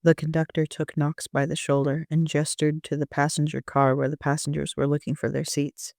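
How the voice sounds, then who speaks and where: A man's voice: a little high, monotone, moderate pace, vocal fry, darkish tone, no echo, clean studio sound. A man reading scripted first-person story narration as an amateur author.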